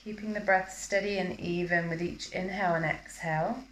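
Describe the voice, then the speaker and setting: A woman teaching yoga, guiding a breathing practice in a calm, measured, instructional manner during a live class. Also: lowish voice. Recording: roomy, echoing live class recording.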